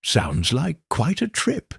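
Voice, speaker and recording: A man voicing a portly, genial gentleman making small talk. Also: medium pitch, huge pitch swings, slow pace, vocal fry, husky, dry recording, clean studio sound, heavily acted. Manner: genial, chatty. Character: portly genial middle-aged gentleman on a train.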